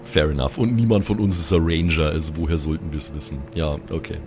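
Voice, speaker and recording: A man's voice: rumbling low, steady tone, fast talker, background noise. A man chatting casually and colloquially as he plays an improvised tabletop role-playing game. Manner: colloquial, slangy.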